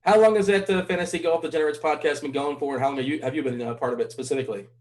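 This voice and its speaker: A man's voice: a little high, very fast, darkish tone. A man hosting a casual interview, asking questions in an upbeat, playful way. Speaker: host.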